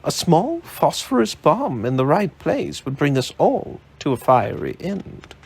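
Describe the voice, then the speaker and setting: A man reading aloud, voicing a composed woman scientist who speaks with calm authority. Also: a little high, measured pace, vocal fry, husky, pitched above the performer's own voice, heavily acted. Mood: grave. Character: poised, sharp-minded woman scientist.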